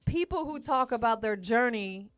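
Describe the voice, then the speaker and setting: A woman's voice: a little high, animated, normal speed, clear, resonant tone, dry recording. A woman hosting a podcast interview in a studio, chatty and affirming, full of slang. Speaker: podcast host. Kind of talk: casual interview. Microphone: studio mic.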